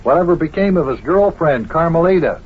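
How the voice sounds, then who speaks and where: A man's voice: on the high side, expressive, brisk, slightly rough. An actor playing a good-natured, patient man of the house in an old-time radio show, speaking plainly and mildly. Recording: noisy, dull old radio recording.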